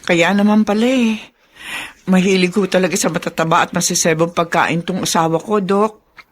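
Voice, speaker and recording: An actress playing a worried, insistent wife, anxious and pleading, in a radio drama. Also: female voice, fairly low pitch, moderate pace, natural voice. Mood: concerned.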